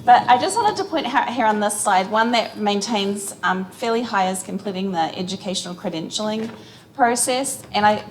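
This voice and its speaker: A woman's voice: mid-range voice, rough recording. A woman with a New Zealand accent giving friendly, direct remarks as a panelist at a conference session. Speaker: physical therapist panelist.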